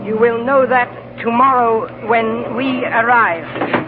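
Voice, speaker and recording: An actor playing a menacing pilot who speaks in a measured, formally polite way, in 1940s radio drama style. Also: male voice, very high voice, fairly even intonation, background noise. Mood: polite menace. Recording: tinny 1940s radio broadcast with music.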